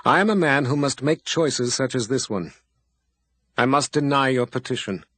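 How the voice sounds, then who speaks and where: A powerful wizard hands down hard choices with cold resolve, as a male narrator puts him on. His delivery is firm and weighty in a steady mid pitch. The reading is dry and close. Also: normal speed, dry recording, lightly acted. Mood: stern.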